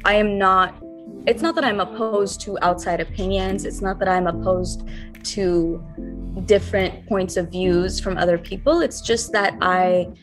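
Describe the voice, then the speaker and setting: A woman's voice: mid-range voice, steady tone, measured pace, music in the background. A young woman, an R&B singer-songwriter, answering interview questions in a thoughtful, earnest way, with many fillers and restarts.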